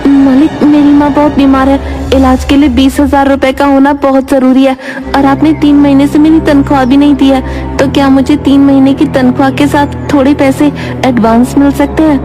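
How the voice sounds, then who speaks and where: A woman's voice: high-pitched, flat delivery, normal speed, close to an everyday voice. A woman playing a poor, meek young servant boy in a cartoon, speaking in an earnest, plaintive way. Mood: pleading. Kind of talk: Hindi moral cartoon voice acting. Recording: music behind the voice.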